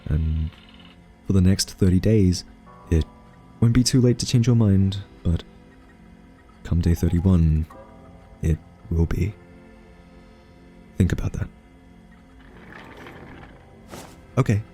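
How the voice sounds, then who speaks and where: A man performs a male scientist with a deep, warm, softened voice over faint music. He is earnest and socially awkward, feeling his way through sentences with nervous hesitations. The delivery is gentle, soft-spoken ASMR roleplay. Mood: pleading, resolute. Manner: hesitant, halting, full of nervous ums.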